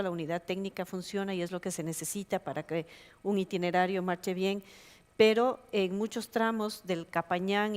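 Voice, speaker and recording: A woman's voice: fairly low pitch, clear, resonant tone. A woman from Ecuador moderating a conference panel, asking long, winding questions full of fillers. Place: conference hall. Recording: long hall reverb.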